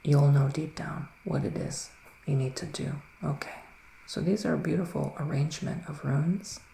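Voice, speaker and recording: Soft, creaky-voiced man whispering as a witch. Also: fairly high pitch, steady tone, echoey room, a little hiss or hum, rough recording. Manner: soft-spoken, soothing, unhurried, with pauses. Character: gentle, reassuring male witch giving psychic readings. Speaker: ASMR performer playing a psychic reader. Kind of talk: whispered ASMR roleplay.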